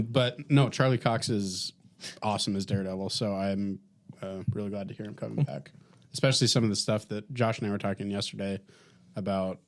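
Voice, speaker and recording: A man co-hosting a podcast, talking casually and conversationally. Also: fairly low pitch. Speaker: podcast co-host. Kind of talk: casual chat.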